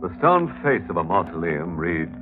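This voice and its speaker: A man playing a sardonic, macabre host of a 1940s radio horror show, speaking in a theatrical, mock-sinister way with drawn-out words. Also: low-pitched, big pitch swings. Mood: grim narration. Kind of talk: 1940s radio mystery narration. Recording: muffled vintage 1940s radio recording. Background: music.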